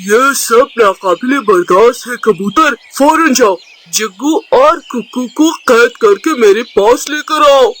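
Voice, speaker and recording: A man's voice: extremely high pitch, animated, takes it slowly, fairly bright tone, slight background noise, exaggerated voice. A man voicing a stern, outraged peacock king in a cartoon. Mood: outraged, commanding.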